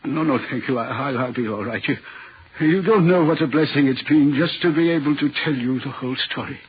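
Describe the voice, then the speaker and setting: An actor playing an anguished, haunted signalman in a 1940s radio drama, halting and tormented. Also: mid-range voice, slightly rough. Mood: weary, grateful. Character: anguished, haunted railway signalman crushed by responsibility. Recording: muffled vintage 1940s radio recording.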